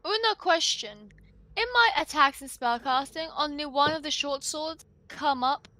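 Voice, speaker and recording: A woman's voice: sits high, expressive, normal speed, sharp tone, no room sound. A woman playing in a tabletop game, flustered as she works out the controls. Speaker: player. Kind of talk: casual game chat.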